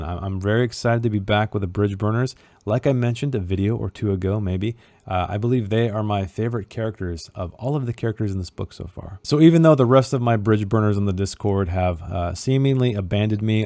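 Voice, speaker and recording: A man's voice: on the low side, fairly quick, mellow, no echo. A man hosting a book club, casually recapping a chapter with informal asides and passages read aloud.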